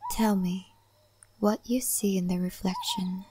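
A woman playing a calm, wise, mysterious guide of a spirit forest in an ASMR roleplay, speaking gently and softly, often in a breathy whisper, with pauses. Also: mid-range voice, background noise. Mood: gentle, musing. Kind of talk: soft-spoken, half-whispered ASMR roleplay.

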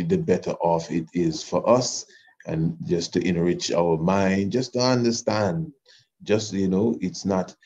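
A man teaching an informal history class, speaking deliberately and haltingly with drawn-out words. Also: sits low, warm tone. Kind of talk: informal lesson discussion. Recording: muffled, noisy online call.

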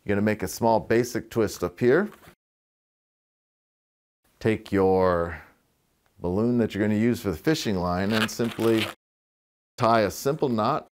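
Middle-aged guy showing balloon animals at home, lowish voice, laid-back, bit wry, lapel mic. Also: unhurried, long pauses, slight background noise. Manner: relaxed, chatty, wry asides with fillers. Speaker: balloon twisting instructor. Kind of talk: casual tutorial demonstration. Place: living room with fireplace, indoors.

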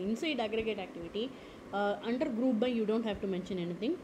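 Woman explaining software step by step. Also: medium pitch, background noise. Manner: explanatory, frequent "okay" and "uh" fillers. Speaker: tutorial instructor. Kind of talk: screen-recorded software tutorial. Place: at a computer.

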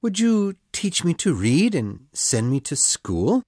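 A woman's voice: lowish voice, big pitch swings, slow pace, darkish tone, slightly rough, no room sound, studio quality, full character voice. A woman voicing a stubborn, wistful boy adventurer who refuses to grow up, in a children's audiobook. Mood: anxious questioning.

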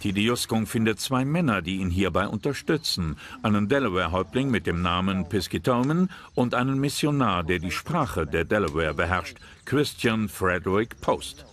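A man reading scripted historical documentary narration in a measured, dramatic storytelling manner. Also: fairly low pitch, animated, a bit bright. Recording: prominent music behind narration.

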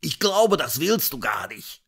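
A man's voice: fairly high pitch, very animated, measured pace, a bit bright, slightly rough, voice pushed up, heavily acted. A man voicing an anxious, scheming male guinea pig who talks in an urgent, coaxing way. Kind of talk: comic audiobook voice acting.

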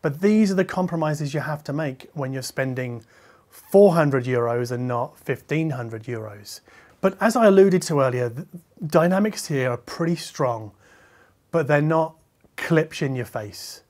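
A middle-aged man, a reviewer talking conversationally to camera in a measured, explanatory way, qualifying his points as he goes. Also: fairly high pitch, animated, darkish tone. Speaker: hi-fi reviewer and video host. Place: medium-sized living room listening space, indoors.

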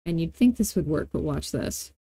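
Female tutorial host, informal and playful, soft-edged mellow tone, dry close-miked sound. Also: low-pitched, lively intonation, normal speed, breathy edge, no echo. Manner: informal, playful, thinking aloud. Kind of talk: casual screen-recorded software tutorial.

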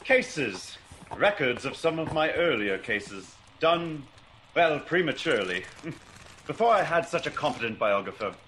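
An actor playing a wry, teasing gentleman detective in a radio drama, with a coaxing, theatrical delivery. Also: fairly high pitch, big pitch swings, unhurried, a little hiss or hum, poor audio.

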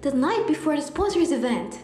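A woman narrates a radio drama from a script. Her tone is mellow and relaxed. The room echoes, with faint noise behind her. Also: high voice, a little fast.

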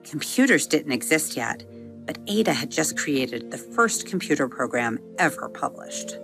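Low-voiced woman reading a bedtime story. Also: vocal fry. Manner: expressive, slowing and softening on vivid words. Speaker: storybook narrator and episode host. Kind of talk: children's bedtime story narration. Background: soft music under the reading.

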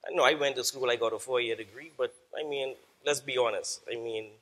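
Casual Bahamian man with bright voice. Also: medium pitch, fairly even intonation, brisk. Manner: casual, frequent "uh" and "um". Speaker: panelist, company founder. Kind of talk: panel presentation. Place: conference stage.

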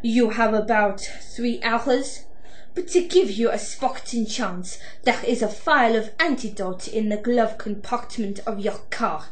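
A woman's voice: on the high side, unhurried, echoey room, slight background noise, close to an everyday voice. A woman playing a coolly gloating female doctor villain in an amateur audio drama, speaking with formal, mock-polite theatricality. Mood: coolly menacing.

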